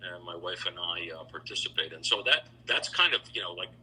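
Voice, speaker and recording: Bright-voiced middle-aged man on a call. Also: low-pitched, a little fast, slight background noise, low-quality recording. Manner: relaxed, rambling, with many fillers and restarts. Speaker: interview guest, founder of a choral institute. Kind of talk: casual storytelling interview. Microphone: video call.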